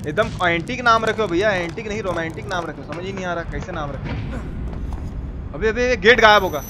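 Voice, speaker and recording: A man with a Bihari accent giving excited, colloquial gameplay commentary as a live-streamer, repeating himself and exclaiming. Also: sits high, brisk. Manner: colloquial, repetitive, exclaiming, mock-abusive. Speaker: live-streamer playing a horror game. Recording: noisy stream with game sounds behind.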